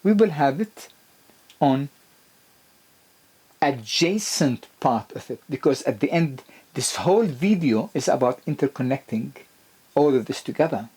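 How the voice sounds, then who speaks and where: A man lecturing to the camera about health in a husky voice. His pitch jumps up on key points, and he talks with restarts and fillers. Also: lively intonation, unhurried, pauses often, dark tone. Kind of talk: explanatory lecture video.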